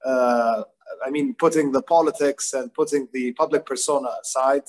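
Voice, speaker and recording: Thoughtful middle-aged man, medium pitch. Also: fairly even intonation, moderate pace, darkish tone. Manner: hesitant, thoughtful phrasing. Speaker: guest, grandson giving remembrance. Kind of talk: informal personal remembrance. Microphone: video call device microphone.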